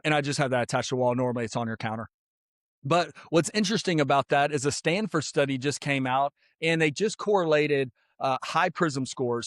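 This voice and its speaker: A man of fifty, hosting a podcast in a casual, energetic monologue full of "like" and repeated points. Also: on the high side, no echo, clean studio sound. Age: 50s. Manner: energetic, repetitive, filler-heavy persuasive talk. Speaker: podcast host.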